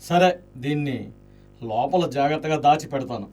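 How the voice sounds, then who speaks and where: A man's voice: fairly high pitch, lively intonation, normal speed, darkish tone, distant mic, lightly acted. A man playing a wry, grumbling companion to a nobleman in a radio drama. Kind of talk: classical radio drama acting.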